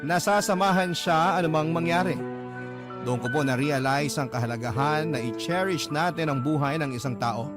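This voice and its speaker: A man reads a heartfelt first-person letter aloud as a radio drama narrator. He is earnest and confessional, and his voice sits slightly above the usual pitch. Clear music plays behind him. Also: moderate pace.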